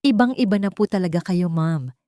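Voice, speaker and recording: Isang babaeng may puntong Pilipino na gumaganap bilang tapat, magalang at humahangang babaeng katuwang sa isang kuwento. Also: female voice, lowish voice, lively intonation, fairly quick, warm tone, no echo, studio quality, lightly acted. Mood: tender admiration. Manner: respectful, deferential. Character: loyal, admiring female aide, former housemaid.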